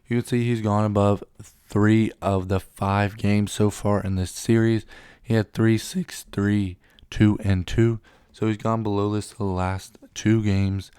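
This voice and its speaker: A man's voice: on the low side, unhurried, warm tone, clean tone, no echo, clean studio sound. A young man getting over a cold, casually running through sports betting picks and reading stats off the screen with a few ums.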